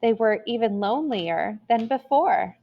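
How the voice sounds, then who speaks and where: A woman storyteller reading a children's tale aloud in an expressive, deliberate way with dramatic emphasis. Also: a little high, vocal fry, dull audio.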